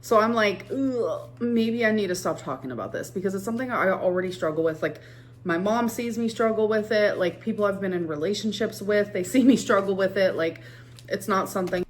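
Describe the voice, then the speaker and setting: A woman's voice: medium pitch, a little fast, a little hiss or hum. A woman vlogger who talks casually and gushingly as she answers fan questions. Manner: gushing, lots of "like" fillers. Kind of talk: casual vlog talk.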